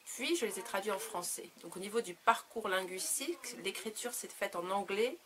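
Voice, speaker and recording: A woman speaking her native French as an author in an interview, reflective and halting as she searches for words. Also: medium pitch, crowd noise. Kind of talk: reflective interview answers about own work.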